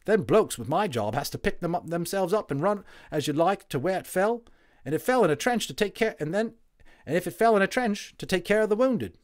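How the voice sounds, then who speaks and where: Cockney WWI medic, young man, high and loud, chatty, rough-and-ready, storybook. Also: lively intonation, a little fast. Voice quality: chatty, rough-and-ready working-class speech.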